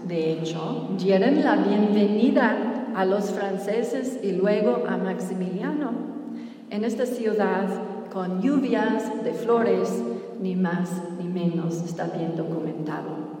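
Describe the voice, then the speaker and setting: A middle-aged woman, a native English speaker with an English-speaking accent, gives a public book talk as a novelist, in a halting, careful second-language delivery. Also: mid-range voice, mellow. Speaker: novelist presenting her book. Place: large reverberant room before an audience. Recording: echoey hall, distant mic, faint noise.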